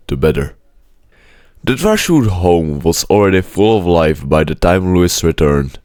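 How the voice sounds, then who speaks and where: Deep-voiced man reading a storybook. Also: darkish tone, no echo, studio quality. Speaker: audiobook-style story reader. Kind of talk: scripted storybook narration.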